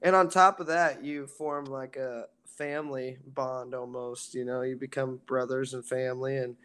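A raspy-voiced man from a band talks loosely about his music. He rambles, circling back with fillers, in an easygoing interview tone. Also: medium pitch, normal speed. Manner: relaxed, rambling with many fillers. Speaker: band singer. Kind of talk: casual interview chat.

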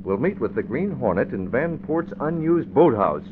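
An American actor playing a decisive, commanding young masked crime fighter in an old radio serial. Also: male voice, medium pitch, sing-song, normal speed, close to an everyday voice. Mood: purposeful. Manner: urgent, authoritative, decisive. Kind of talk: 1940s radio acting. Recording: muffled, noisy old radio recording.

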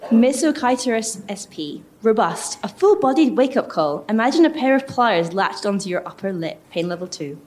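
A woman's voice: medium pitch, expressive, normal speed, hardly any pauses, darkish tone. A woman reading short poetic descriptions dramatically at a live event.

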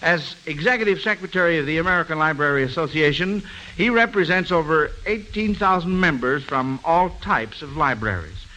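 A man's voice: a little high, animated, moderate pace, sharp tone. A man hosting an old-time radio quiz show, encouraging and avuncular with children. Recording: noisy old radio broadcast recording.

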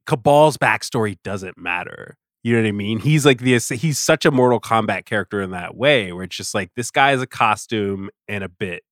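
A man speaking as a podcast guest in a casual pop-culture discussion, halting and often interrupting himself. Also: fairly low pitch, fairly bright tone, no room sound, studio quality. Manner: halting, self-interrupting, conversational.

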